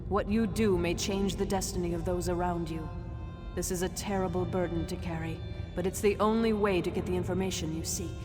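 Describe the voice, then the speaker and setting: A woman playing a solemn, resolute ghost from the ancient past in a video game, grave and deliberate, with a ghostly reverb. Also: fairly low pitch, fairly even intonation. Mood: grave, mournful. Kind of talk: video game character acting. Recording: long reverb, music behind.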